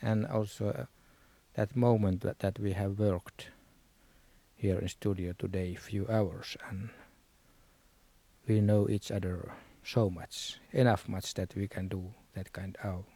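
A man with a Sami accent speaks very slowly in a low, gravelly voice. He is a musician explaining his craft, feeling his way through simple English in a dry, close recording. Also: fairly even intonation, dark tone, dry recording. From Sápmi, northern Scandinavia. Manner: halting, searching for words in simple English. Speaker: Sami musician, joik singer.

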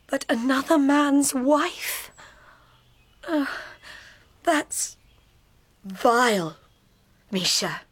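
A woman's voice: on the high side, fairly bright tone, slightly rough, dry recording, exaggerated voice. An actress playing a young wife and mother, tearful and reproachful toward her unfaithful husband in a radio play. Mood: wounded.

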